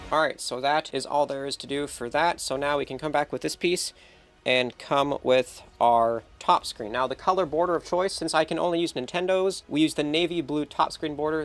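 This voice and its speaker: A man with a mid-pitched voice that sounds a bit stuffed up and nasal. He explains each step of a hands-on job in a casual, upbeat way, like a hobbyist host. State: nasal from feeling under the weather. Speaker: host of a console-building tutorial channel. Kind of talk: casual hands-on tutorial commentary.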